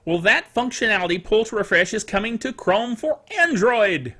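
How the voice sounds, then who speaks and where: An older man hosting a casual solo tech show, rambling playfully like a self-styled curmudgeon, stretching words for emphasis and tossing in spoken sound effects. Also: sits high. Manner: animated, emphatic delivery with drawn-out words. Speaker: podcast host. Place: small home studio.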